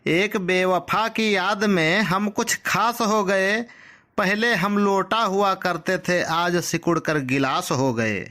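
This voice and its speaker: A man voicing a lovelorn cartoon villager who recites comic verse in a mock-dramatic, drawn-out way. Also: high-pitched, sharp tone, full character voice. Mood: mock melancholy.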